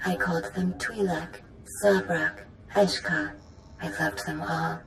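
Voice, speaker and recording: An ancient machine mother speaks with stately maternal pride and quiet menace. The voice is low for a woman, with a creaky grain. It rings in a roomy game-world space over faint rumbling noise. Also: steady tone, slow pace. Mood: tender, imperious. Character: ancient godlike machine mother, tender, proud, quietly vengeful. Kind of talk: dramatic video game acting.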